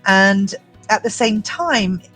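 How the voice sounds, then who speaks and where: A woman's voice: mid-range voice, lively intonation, slow pace, fairly bright tone, faint music behind, rough recording. English-accented woman telling her story. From United Kingdom. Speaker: guest entrepreneur. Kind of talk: prepared personal story.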